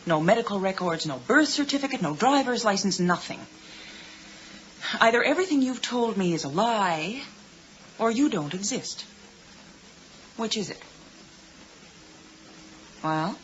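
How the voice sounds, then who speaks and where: An actress plays a calm, dryly sceptical woman psychiatrist in a 1980s radio drama. She sounds measured and firm, at a medium pitch. The recording is muffled with faint noise. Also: natural voice.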